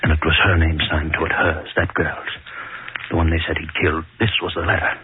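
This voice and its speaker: A man's voice: rumbling low, little pitch movement, fairly quick. An actor playing a morbid, guilt-ridden prison hangman in 1940s radio horror style, muttering in terse, repeated fragments. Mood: furtive, grim. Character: morbid, brooding male prison hangman confessing his guilt. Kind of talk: 1940s radio horror acting, haunted narration. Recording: noisy, narrow-band 1940s radio recording.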